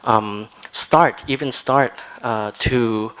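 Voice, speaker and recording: A man stands up in the audience of a conference hall to put a question to a panel. His voice sits at a middle pitch. He speaks hesitantly, stopping and restarting often as he searches for words. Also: drawn-out words, a bit bright, clean tone. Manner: hesitant, many fillers and restarts. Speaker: audience member asking a question. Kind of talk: asking a question from the floor.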